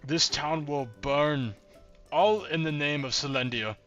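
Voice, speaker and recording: A man's voice: on the high side, a little slow, a bit bright, lo-fi sound, soft background music, voice pushed up. A man voicing a scornful ancient dragon. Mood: menacing. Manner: haughty, mocking threats.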